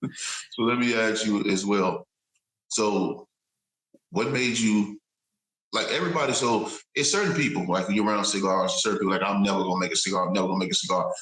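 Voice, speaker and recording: A man's voice: fairly low pitch, a little fast, fairly bright tone. A man from Chicago hosting a podcast, asking questions in a loose, laughing way with restarts. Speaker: podcast host. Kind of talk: casual chat. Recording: echoey room.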